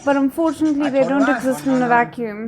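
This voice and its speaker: Higher-pitched woman giving casual reaction commentary. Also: very fast, a little mellow. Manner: thinks aloud, often addressing the characters directly. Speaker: reaction video host.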